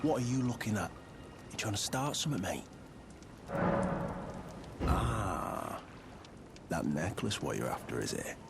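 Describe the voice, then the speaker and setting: Shifty game thug, low-pitched, unhurried, working-class English accent, blunt, surly, loud music behind. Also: male voice, huge pitch swings, vocal fry, exaggerated voice. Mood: menacing, haggling. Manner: blunt, surly, slangy, swearing. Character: gruff, shifty male thug selling boiled prawns. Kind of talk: video game character acting.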